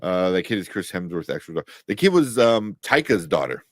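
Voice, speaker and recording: A man's voice: sits low, sing-song, fairly quick, no echo, studio quality. A man hosting a casual live pop-culture chat, rambling enthusiastically and often searching for names. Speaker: host of a pop-culture review show.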